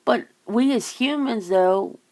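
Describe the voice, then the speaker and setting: A woman's voice: fairly high pitch, slow, darkish tone. A woman having a casual phone chit-chat, rambling and teasing, with some casual swearing. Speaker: ASMR channel host.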